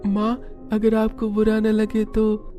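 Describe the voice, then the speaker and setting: A woman's voice: mid-range voice, completely flat delivery, slow pace, dark tone, faint music behind, close to an everyday voice. A woman voicing a whiny little village boy in a cartoon story. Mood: timid, cold.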